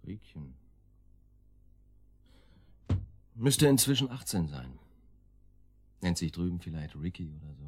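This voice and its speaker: An actor playing a cynical, controlling middle-aged intruder in a German radio drama, husky and curt, with a condescending, laconic manner. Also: male voice, sits low, sing-song, normal speed, exaggerated voice. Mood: laconic, evasive.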